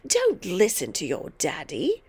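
A woman's voice: fairly low pitch, huge pitch swings, a little slow, a little mellow, husky, exaggerated voice. A woman voicing a tiny, bossy, mean old grandmother who scolds and snaps with gloating emphasis in a storybook reading. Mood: snappish, scolding.

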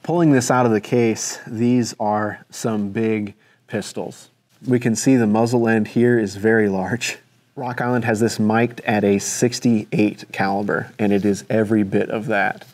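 A young man with a fairly low voice talks through the details of the objects he holds in a steady, measured way. He speaks from a table in an indoor studio, close to a clip-on mic. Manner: measured, detailed describing while handling objects. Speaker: firearms presenter. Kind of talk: informal product walkthrough.

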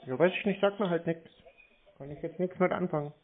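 A man's voice: a little high, brisk. A man in casual phone conversation, needling the other person and playing ignorant. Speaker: prank-taker of scam calls. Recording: muffled, noisy phone recording.

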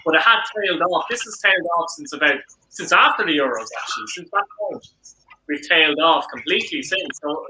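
A man's voice: on the high side, animated, brisk, pushed and bright, roomy sound, slight background noise, poor audio. An Irish man hosting a casual post-match football chat on a video call, arguing his case with rhetorical questions.

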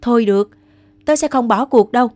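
A woman's voice: fairly high pitch, a little fast, darkish tone, close to an everyday voice. A woman voicing a modest, earnest young turtle in a children's cartoon. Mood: determined. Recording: music behind the voice.

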